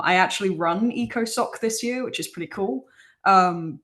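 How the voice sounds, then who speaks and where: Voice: medium pitch, a bit bright. A young student ambassador answering casually on a webinar panel, with many fillers. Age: young adult.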